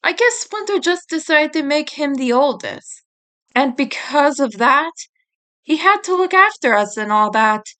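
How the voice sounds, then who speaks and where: A woman's voice: high voice, fairly bright tone, pitched above the performer's own voice. A woman reading the part of a blunt, guilt-ridden teenage mutant turtle boy in a story, awkward about feelings. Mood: earnest.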